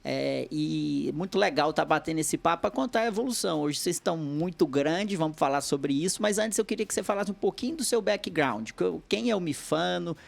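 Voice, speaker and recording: A male podcast host asks questions in a relaxed, slangy manner. His voice is fairly high for a man and has a gravelly edge. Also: fairly quick, hardly any pauses. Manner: relaxed, slangy, friendly asides. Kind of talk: casual interview.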